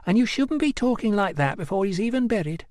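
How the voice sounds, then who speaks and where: A man's voice: high voice, expressive, a little fast, darkish tone, no echo, voice pushed up. A man doing a scolding barber's wife. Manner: scolding, reproving. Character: sharp-tongued barber's wife, middle-aged woman.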